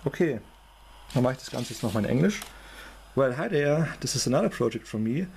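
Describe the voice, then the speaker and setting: A man's voice: mid-range voice, expressive, fairly quick, a little mellow, slight background noise. A man with a German accent giving an informal, matter-of-fact technical demonstration as a hobby electronics presenter.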